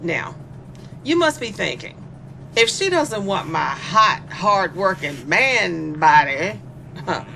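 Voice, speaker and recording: Taunting sadistic female killer, swooping and creaky. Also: lowish voice, big pitch swings, slow pace, bright, forceful tone, full character voice. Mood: menacing relish. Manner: mocking, flirtatious, toying with the captive. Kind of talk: dark comic horror audio drama monologue. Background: music.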